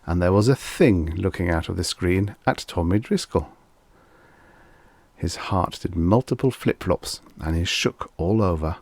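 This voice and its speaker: Guy with a low voice reading an audiobook story, expressive, really dry sound no echo. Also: measured pace. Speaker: audiobook narrator. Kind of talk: scripted story narration.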